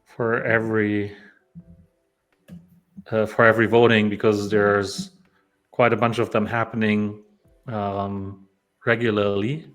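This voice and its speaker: A man's voice: fairly low pitch, level tone, slow pace, pauses often, a bit bright, poor audio. A man from Poland hosting a developer live stream, chatting casually with lots of fillers and restarts. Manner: rambling, many fillers and restarts.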